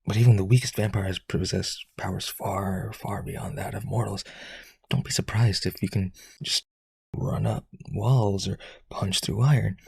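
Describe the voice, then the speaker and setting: A man playing a powerful, rich vampire aristocrat, desperately devoted, with breathy, raspy, intense and coaxing speech in a roleplay audio. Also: on the low side, fairly even intonation, darkish tone, dry recording, heavily acted. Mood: boastful, coaxing. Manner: intense, coaxing, begging, halting.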